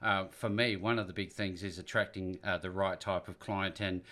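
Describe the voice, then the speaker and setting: A man hosting a business interview show over a call, upbeat but pausing with frequent fillers as he sets up questions. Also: low voice, steady tone, a bit bright. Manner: upbeat, with many "uh" fillers between phrases. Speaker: podcast host. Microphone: remote call.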